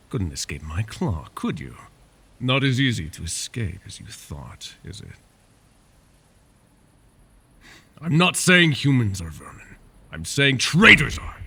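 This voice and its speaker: A man voicing a possessive, scheming male dragon in an ASMR roleplay, teasing and drifting into soft whispers. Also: slight background noise, exaggerated voice. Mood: scolding. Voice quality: gravelly, low.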